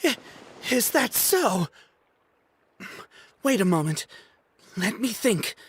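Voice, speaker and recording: A woman's voice: mid-range voice, very animated, takes it slowly, slight background noise. A woman voicing a proud, touchy young male student who stammers when flustered. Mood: pondering.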